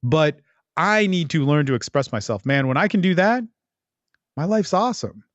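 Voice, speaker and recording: A man's voice: on the high side, expressive, moderate pace, no echo, muffled recording. Blunt man coaching on a dry podcast. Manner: blunt, profane, emphatic. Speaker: podcast host and dating coach. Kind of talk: casual, unscripted advice monologue.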